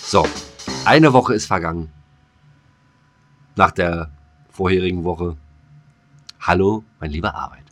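A man in his thirties with a very low voice, co-hosting a relaxed comedy podcast. He jokes, stumbles and stammers through his sentences. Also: unhurried, long pauses, faint music behind. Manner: joking, stammering, hesitant. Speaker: podcast co-host. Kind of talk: casual comedic chat.

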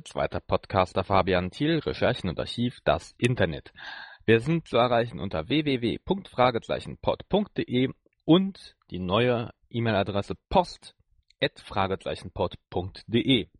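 A man's voice: lowish voice, no echo. A man co-hosting a podcast, chatting casually with his partner. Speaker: podcast co-host. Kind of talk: casual banter with co-host.

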